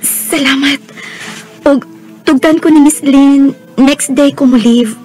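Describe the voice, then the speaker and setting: A gentle young working woman in a Bisaya radio drama, her voice high and soft-spoken. She has a Visayan Filipino accent and a tactful manner. Faint music plays behind her. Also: fairly even intonation, slow pace. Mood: tender, parting.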